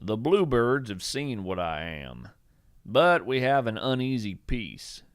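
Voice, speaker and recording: A man playing an eccentric, earnest naturalist who hosts a scripted fiction podcast, speaking in a measured, theatrical, thoughtful way. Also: medium pitch, sing-song, clean tone, no echo, studio quality. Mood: mysterious, puzzled. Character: eccentric male naturalist host, musing, earnest, whimsical. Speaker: podcast host and narrator. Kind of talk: scripted fiction podcast narration with poetry readings.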